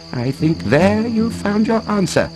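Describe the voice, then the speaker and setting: An actor playing a mild, learned professor who explains ancient lore with hesitant little chuckles in a game cutscene. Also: male voice, sits high, very animated, takes it slowly, music in the background, full character voice, low-quality recording. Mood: storytelling, intrigued. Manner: expository storytelling with hesitant chuckles. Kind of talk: scripted video game cutscene acting.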